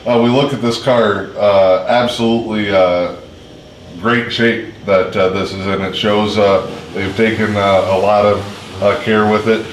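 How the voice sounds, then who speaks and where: A man hosting a casual local interview, with halting, hesitant phrasing. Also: lowish voice, steady tone, a little hiss or hum. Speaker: host and interviewer. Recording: roomy echo, music underneath.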